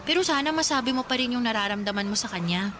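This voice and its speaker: A woman's voice: a little high, lively intonation, fast, music in the background, close to an everyday voice. A woman playing a sentimental, teasing older girl cousin in a radio romance drama, confiding and dramatic. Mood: gently urging.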